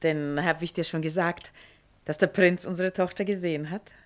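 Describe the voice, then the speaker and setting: Actress as a lower-voiced, placating mother. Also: fairly even intonation, moderate pace, lightly acted. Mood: delighted. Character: middle-aged mother, fond, placating, proud of daughter. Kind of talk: classical radio drama acting.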